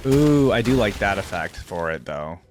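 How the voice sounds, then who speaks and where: A man's voice: low voice, sing-song, moderate pace, music in the background. A man giving casual reaction commentary on video game character skins, thinking aloud as he goes. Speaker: reaction channel host.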